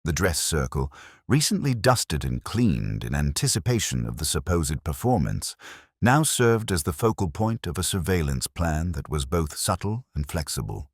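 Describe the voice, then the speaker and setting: Very deep, husky male narrator. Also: very animated, moderate pace, no echo, clean studio sound. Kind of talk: scripted audiobook narration of a detective mystery.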